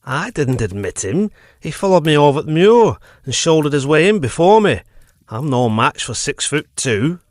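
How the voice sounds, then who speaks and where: A man acting a gruff, grudging old manservant in a period audio drama, terse and rustic in his phrasing. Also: fairly high pitch, sing-song, normal speed, dry recording. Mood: defensive.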